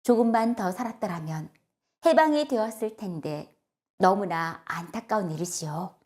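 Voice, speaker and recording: A woman telling a scripted story to children as a storyteller, in a gentle, instructive and expressive way. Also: mid-range voice, moderate pace.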